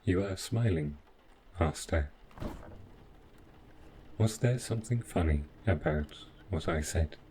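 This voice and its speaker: Guy doing a robot butler for an audio roleplay, really low voice, super formal and polite, calm, bit of background noise. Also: slow, long pauses. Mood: calm, attentive. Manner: formal, stiffly precise, deferential, avoids contractions. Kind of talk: scripted roleplay audio drama.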